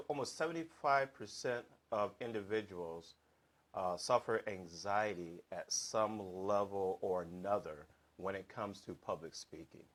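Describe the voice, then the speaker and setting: A man hosting a talk show as a hypnotherapist, speaking earnestly and haltingly with frequent fillers and restarts. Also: fairly low pitch, fairly bright tone. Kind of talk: slow, earnest monologue introducing a guest.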